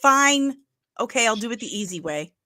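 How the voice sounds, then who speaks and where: A woman's voice: a little high, animated, moderate pace, sharp tone, no room sound, studio quality. A middle-aged woman with a nasal voice, hosting a casual pop culture news livestream, chatty and emphatic. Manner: chatty, emphatic, with asides and laughs.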